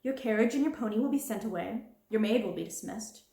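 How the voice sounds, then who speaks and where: A woman's voice: on the high side, a little fast, creaky, warm tone, reverberant. A woman voicing a harsh, domineering middle-aged schoolmistress in a storybook reading, cold and scornful. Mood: commanding.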